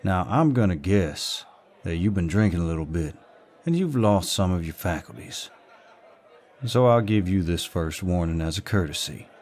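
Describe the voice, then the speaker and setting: A man playing a protective, dominant Southern gentleman in an audio roleplay, speaking politely but firmly with a Southern US drawl. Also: low-pitched, expressive, moderate pace. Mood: calm, firm. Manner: polite, drawling, firm, old-fashioned courtesy. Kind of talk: roleplay audio acting, intimate and scripted.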